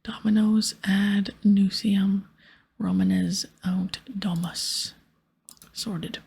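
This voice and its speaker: Woman whispering as a shifty, breathy pirate ex-priest. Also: medium pitch, flat delivery, very slow, darkish tone, full character voice. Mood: sly. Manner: sly, offhand. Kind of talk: whispered game dialogue reading.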